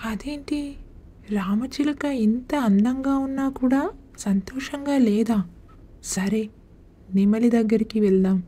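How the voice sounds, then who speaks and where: An insecure crow from a children's animated fable, voiced by a woman. The tone is very dark and mellow, with a husky edge. The crow speaks with wistful admiration and questioning wonder. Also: a little high, unhurried, long pauses. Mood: curious, wistful. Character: insecure, admiring crow who learns self-worth. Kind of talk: children's animated moral story.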